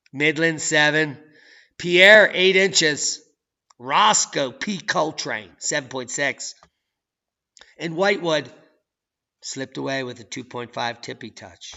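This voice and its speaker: A man hosting a channel, reading news and weather maps with sarcastic, profane commentary that swings from low mutters to loud outbursts. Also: creaky, muffled recording. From United States. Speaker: channel host.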